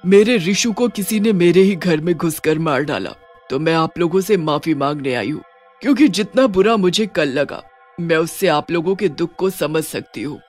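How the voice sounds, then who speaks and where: A woman playing a vengeful, grieving widowed witch in a horror story, speaking in dramatic, drawn-out vows. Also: on the low side, close to an everyday voice. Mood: mournful. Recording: music under the voice.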